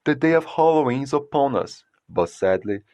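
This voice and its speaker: An actor playing a sombre newsreader in an audio drama, with a formal, measured delivery. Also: male voice, medium pitch, very animated, darkish tone, no room sound, lightly acted. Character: sombre male news announcer reporting a killing. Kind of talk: news bulletin within audio drama.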